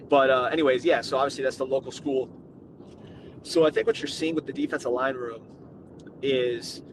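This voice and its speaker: A man hosting a sports podcast, talking casually and rambling with frequent restarts and asides. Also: medium pitch. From Cuban heritage. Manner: rambling, self-interrupting, conversational. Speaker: podcast host. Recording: noticeable background noise.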